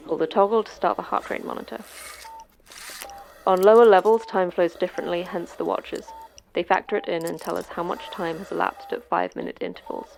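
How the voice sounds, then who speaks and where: A woman's voice: fairly low pitch, creaky, background noise. A woman playing the terse, stern manager of a secret facility in a sci-fi horror audio drama, speaking in clipped, commanding instructions.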